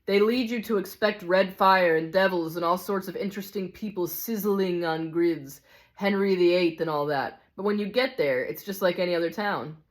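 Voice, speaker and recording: A man's voice: sits high, normal speed, natural voice. A woman voicing a cynical, world-weary male ghost. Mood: jaded, dismissive. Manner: scornful, insistent complaining with rhetorical questions.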